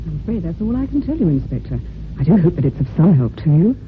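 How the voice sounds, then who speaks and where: An actress playing a prim, defensive, devoted secretary who speaks formally and emphatically in an old-time radio drama. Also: female voice, on the low side, sing-song, fast talker, a little hiss or hum. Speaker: actor in a radio mystery. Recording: thin, phone-like old radio recording.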